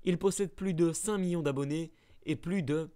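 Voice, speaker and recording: Male narrator, slightly high, playful scripted voice-over. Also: normal speed, mellow, clear, resonant tone. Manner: playful, mock-dramatic asides. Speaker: narrator of a ranking video.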